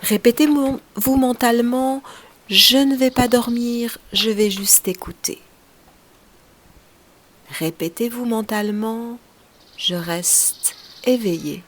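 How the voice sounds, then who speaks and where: A woman leading a guided relaxation meditation as a yoga teacher, speaking softly and soothingly in short, unhurried phrases, often breathy as they trail off. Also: a little high, slight background noise.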